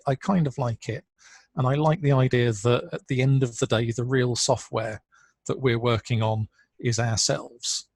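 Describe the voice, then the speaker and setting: A man giving an online conference talk in a relaxed, conversational way, with fillers, over a video call. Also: mid-range voice, gravelly, husky, no room sound. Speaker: conference speaker.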